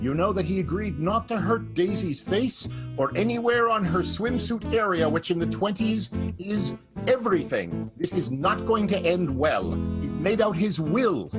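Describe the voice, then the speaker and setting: A man hosting and narrating an improvised radio drama with wry, dramatic announcing. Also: high-pitched. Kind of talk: lively scripted-sounding improvised narration. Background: plucked guitar music.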